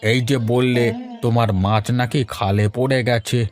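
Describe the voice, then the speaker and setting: A man's voice: medium pitch, fairly even intonation, unhurried, natural voice. A man voicing a grumbling, poor old village husband in a cartoon. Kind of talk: Bengali village cartoon dubbing. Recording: faint music behind the voice.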